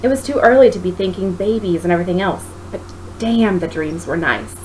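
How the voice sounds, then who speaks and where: A woman reading a story aloud as narrator, in an expressive style. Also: fairly low pitch, fairly quick. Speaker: author reading own book. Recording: noisy home recording.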